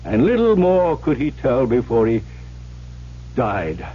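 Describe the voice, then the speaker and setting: A rustic teller of spooky tales, slow and mellow, with sweeping, theatrical delivery and old-fashioned words. It is a vintage radio drama on a muffled, humming recording. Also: male voice, mid-range voice, wildly swooping pitch, slight background noise. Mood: ominous relish. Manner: relishing, dramatic storytelling with old-fashioned diction. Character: rustic storyteller spinning a spooky folk tale.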